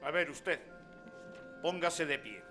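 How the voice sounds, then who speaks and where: A man acting a kindly, formal older village schoolteacher in a radio drama, measured and courteous. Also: on the high side, expressive, pushed and bright, full character voice. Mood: stern. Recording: music behind the voice.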